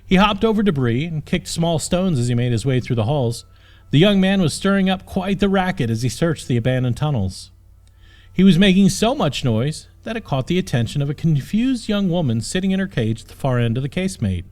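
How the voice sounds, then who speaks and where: A man reading a scripted story as an audiobook narrator, with expressive storytelling delivery. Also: on the high side, brisk.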